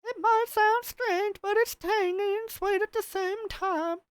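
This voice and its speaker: A man voicing a young woman guest in a high, sing-song falsetto. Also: fairly even intonation, unhurried, no echo, studio quality.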